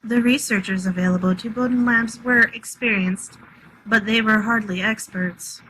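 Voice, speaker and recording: Woman playing an unemotional AI, crisp bright tone, formal, precise, some background noise. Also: a little high, steady tone, moderate pace, poor audio. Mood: clinical. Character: polite, literal-minded, unemotional artificial intelligence assistant. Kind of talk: dramatic live script reading.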